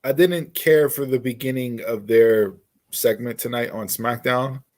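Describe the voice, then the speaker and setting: A man speaks as a podcast co-host in a loose, conversational style. His voice sits at a middle pitch, and he gives emphatic opinions, often repeating phrases to drive them home. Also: fairly even intonation, measured pace, a little mellow. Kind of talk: casual wrestling predictions chat.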